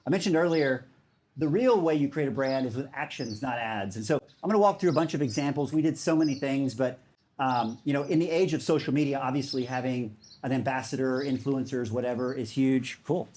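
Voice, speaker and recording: A man's voice: medium pitch, fairly quick, a little mellow, slight background noise. A man giving a conference talk in a casual, enthusiastic way, with many fillers. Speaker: speaker.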